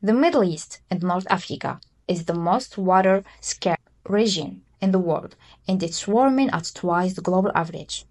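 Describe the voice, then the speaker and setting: A woman's voice: lowish voice, animated, moderate pace, a little mellow. A woman in her twenties from Morocco, co-hosting a podcast and explaining a topic from a script in an emphatic way. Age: 20s. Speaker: podcast co-host.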